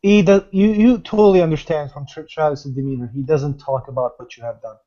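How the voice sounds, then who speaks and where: A man narrates a character's actions in a roleplaying game. His voice is mellow and a bit high, heard over dull, lo-fi call audio. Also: a little fast. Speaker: tabletop roleplaying participant.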